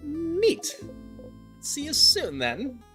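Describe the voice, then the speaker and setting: A streamer voicing a playful, teasing star-like creature from a video game, theatrical and cheery, with sing-song, drawn-out words. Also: high-pitched, huge pitch swings, faint music behind, voice pushed up, heavily acted. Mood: gleeful.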